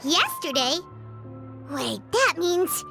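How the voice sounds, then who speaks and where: A tiny excitable fairy girl from a video game. Her childlike voice is extremely high and swings animatedly as she speaks with emphasis, over music. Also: slow pace, creaky, heavily acted. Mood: surprised.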